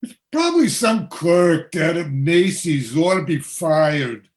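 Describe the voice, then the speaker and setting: Skeptical, brusque husband for radio play, male actor; very lively intonation, unhurried pace. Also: on the high side. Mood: scoffing. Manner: brusque, scoffing, slangy. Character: dismissive, skeptical working-class husband.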